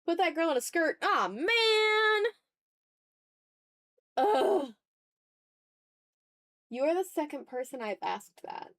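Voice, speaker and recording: A woman's voice: very high voice, very animated, takes it slowly, pauses often, a bit bright, dry recording, clean studio sound. A woman from the American South chatting casually on an art livestream, self-deprecating and playfully exasperated. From Southern United States. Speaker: streamer. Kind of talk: casual livestream chat while drawing.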